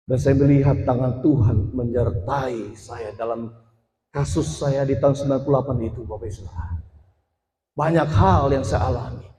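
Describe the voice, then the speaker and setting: A man's voice: medium pitch, normal speed, warm tone. A man in his forties giving a personal testimony as a sermon to a congregation, addressing them directly and building to emphatic passages. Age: 40s. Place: church. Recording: echoey hall with long reverb.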